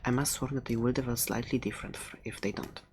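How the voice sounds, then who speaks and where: A performer voicing a furry animal shopkeeper in an ASMR roleplay, soft-spoken and halting, often slipping toward a whisper, doting with an edge of menace. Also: medium pitch, fairly even intonation, background noise, close to an everyday voice. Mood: earnest, explaining.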